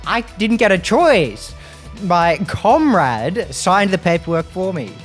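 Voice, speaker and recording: A man's voice: high voice, sing-song, slow pace, soft background music. A man playing a tabletop role-playing game, chatting and improvising casually in a jokey, protesting manner.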